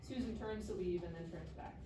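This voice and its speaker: A woman's voice: fairly low pitch, brisk, dark tone. A woman reading stage directions as scripted narration at a live table read. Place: live reading event in a room. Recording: echoey room, distant mic, clear noise.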